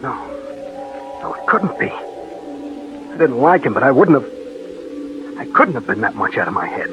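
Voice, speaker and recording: A man's voice: mid-range voice, very animated, a little slow, over music. An American man playing a defensive police detective who was knocked out, in 1940s radio crime style. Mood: troubled. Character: dazed, defensive young police detective, knocked out and under suspicion. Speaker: radio actor. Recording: noisy, muffled old radio broadcast.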